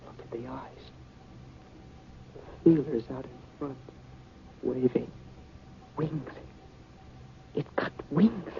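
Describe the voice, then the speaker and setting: A man's voice: medium pitch, takes it slowly, gravelly, long pauses, slight background noise. An actor playing an eager, polite young grocery delivery boy with an earnest manner, in 1950s radio drama style. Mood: frightened. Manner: earnest, boyish. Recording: dull, band-limited 1950 radio recording.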